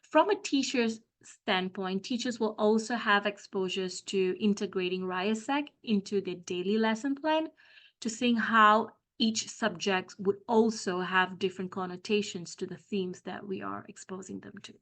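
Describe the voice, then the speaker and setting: A woman's voice: medium pitch, moderate pace, a bit bright. Middle-aged woman from Malaysia; school career coach presenting to parents, explanatory, many "um"s. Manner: explanatory, frequent "um" fillers.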